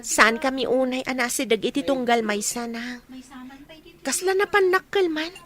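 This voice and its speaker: A woman plays an earnest young woman confiding in an elder. The voice is high and deferential, with an Ilocano accent, in radio drama style. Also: moderate pace, a bit bright.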